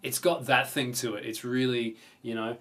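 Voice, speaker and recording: A man's voice: medium pitch, fairly even intonation, moderate pace. A man with an Australian accent presenting a casual guitar lesson in an informal, chatty, enthusiastic manner. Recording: guitar playing prominent alongside the voice. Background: acoustic or electric guitar strumming.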